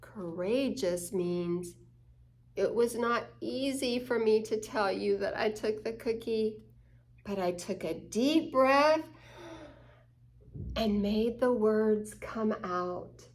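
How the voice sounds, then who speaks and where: A woman reading a picture book aloud to children as a story time host, expressively and deliberately, explaining things simply. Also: medium pitch. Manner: slow, deliberate, acting out quoted lines. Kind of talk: expressive children's read-aloud with asides to listeners.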